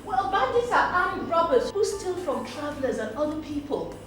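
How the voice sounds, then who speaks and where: A woman telling a story to children, pausing to explain and ask questions. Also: high-pitched, big pitch swings, a bit bright. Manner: explains words, asks questions. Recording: echoey, distant mic, music behind.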